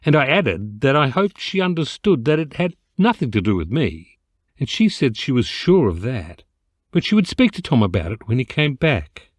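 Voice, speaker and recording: A man reading a comic literary story as an amateur narrator, theatrical and expressive with mock dignity. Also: medium pitch, normal speed, no echo. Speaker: amateur voice actor reading an audiobook excerpt.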